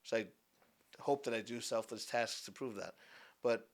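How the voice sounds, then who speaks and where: A man's voice: medium pitch, normal speed. Earnest rambling young man. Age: 20s. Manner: earnest, rambling with many restarts. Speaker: guest, business founder. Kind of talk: casual podcast conversation.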